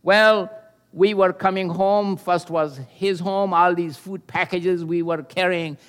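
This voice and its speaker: Older guy preaching, slow and careful, kinda high voice, lots of uh pauses. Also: steady tone, a bit bright, clear, resonant tone. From Pakistan. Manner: earnest and measured, with pauses and hesitations. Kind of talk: sermon with personal testimony.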